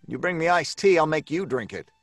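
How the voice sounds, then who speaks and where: A man's voice: on the high side, lively intonation, brisk, no room sound, faint music behind. An actor playing an irritable, frazzled male writer in an old time radio drama, blustering and stammering with exasperation. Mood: exasperated.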